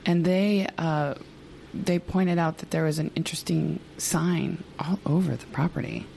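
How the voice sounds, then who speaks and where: A woman co-hosting a podcast in casual chat, hoarse and chatty, laughing often. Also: low-pitched, steady tone, measured pace, warm tone, a little hiss or hum, poor audio. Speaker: podcast co-host.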